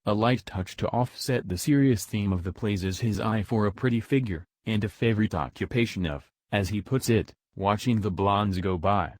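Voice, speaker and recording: A man's voice: fairly low pitch, normal speed, hardly any pauses. A man reading a scripted introduction as a radio announcer.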